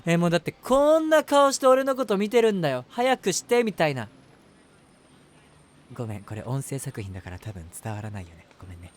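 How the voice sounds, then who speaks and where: A man's voice: a little high, very animated, a little fast. A man playing an eager, submissive, lovestruck young man in an audio drama, chatting and rambling to the listener with self-mockery. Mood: sheepish, confiding. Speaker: voice actor. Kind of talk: situation voice drama, first-person monologue to the listener.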